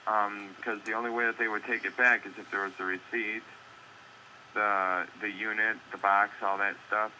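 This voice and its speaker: A man's voice: fairly low pitch, little pitch movement, normal speed, sharp tone. A man working as an electronics store employee, answering a customer over an internet phone call. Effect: phone call over computer speaker. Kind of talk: customer service phone talk. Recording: harsh, slightly noisy internet phone line.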